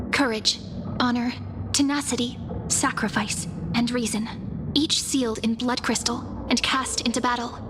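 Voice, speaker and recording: A woman voicing a gentle, resolute young heroine in a fantasy game, telling a legend in a solemn, measured way. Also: on the high side, background noise, lightly acted. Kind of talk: scripted fantasy game narration. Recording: music clearly behind.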